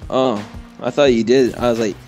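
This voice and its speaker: A man's voice: medium pitch, fairly even intonation, normal speed, darkish tone. Giggly teenage boy gamer. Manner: giggly, joking. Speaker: co-player friend. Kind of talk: casual gaming chat. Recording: game music and gunfire behind.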